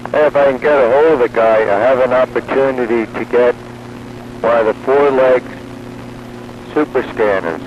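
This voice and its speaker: A man's voice: mid-range voice, normal speed. A man in casual hobbyist chat, heard over a two-way radio transmission. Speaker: radio hobbyist on a CB round-table. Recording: muffled CB radio with clear noise.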